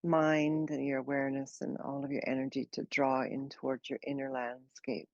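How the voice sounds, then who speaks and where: Gravelly, even-toned woman guiding meditation. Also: extremely low pitch, no echo, muffled recording. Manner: slow, gentle, unhurried instruction with long pauses. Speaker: meditation teacher. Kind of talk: guided meditation.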